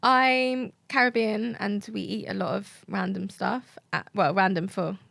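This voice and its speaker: British woman with a creaky voice. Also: mid-range voice, fairly even intonation, measured pace, a bit bright, no echo. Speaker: podcast guest. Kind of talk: casual chat.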